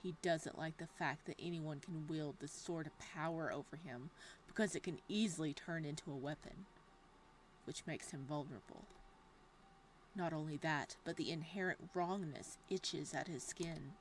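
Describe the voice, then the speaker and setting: A young woman hosting a horror game playthrough, chatty and excitable, reading the game text aloud. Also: low voice, normal speed, a little hiss or hum, low-quality recording. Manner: bubbly and excitable, laughs often between lines. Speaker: gaming channel host.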